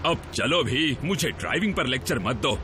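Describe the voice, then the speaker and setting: A man voicing a brash, grumbling middle-aged government agent in an animated series dub, with a blustery, emphatic delivery. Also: medium pitch, moderate pace, a little hiss or hum. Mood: irritated.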